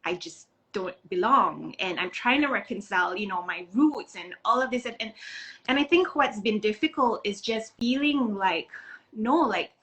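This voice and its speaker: A woman's voice: mid-range voice, lively intonation, normal speed, a bit bright, rough recording. A woman hosting an interview in a reflective, casual way, hesitantly searching for her words. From Singapore. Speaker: interview host.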